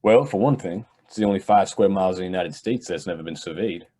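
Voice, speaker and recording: A man's voice: low-pitched, fast, mellow, husky. An actor playing a nonchalant young rich heir in an old-time radio drama, casually boastful about his family's wealth. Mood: blasé. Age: young adult.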